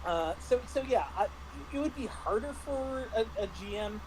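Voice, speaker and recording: A man in a casual review discussion, talking haltingly with repeated words and false starts. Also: high-pitched, expressive, background noise. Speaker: panelist reviewing a tabletop roleplaying game.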